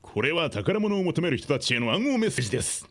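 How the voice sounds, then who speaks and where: Rapid-fire animated man as a game character. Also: fairly high pitch, heavy background noise. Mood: cryptic. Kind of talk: video game cutscene monologue.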